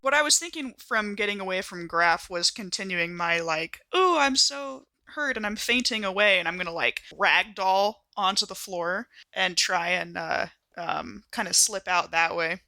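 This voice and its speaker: A woman's voice: medium pitch, moderate pace, hardly any pauses, pushed and bright, dry recording. An American woman playing a tabletop game, talking casually and thinking aloud, reworking her ideas mid-sentence. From United States. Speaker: player in an actual-play podcast. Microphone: close studio microphone.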